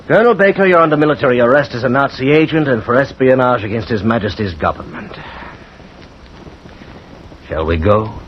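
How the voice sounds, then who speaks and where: A man's voice: medium pitch, lively intonation, fairly quick, long pauses, lightly acted. A man acting a stern, formal military investigator in a 1950s radio drama. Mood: stern, accusing. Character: stern British military investigator making an arrest. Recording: muffled, noisy old radio recording.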